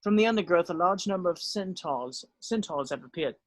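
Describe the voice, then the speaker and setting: A man's voice: sits high, lively intonation, brisk, no echo. A man reading stage directions aloud as the narrator of a script read.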